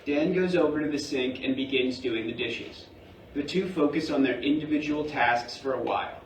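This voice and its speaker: A man's voice: a little high, normal speed. Guy reading out stage directions, scripted, roomy echo, mic kinda far away. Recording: roomy, distant mic, faint noise.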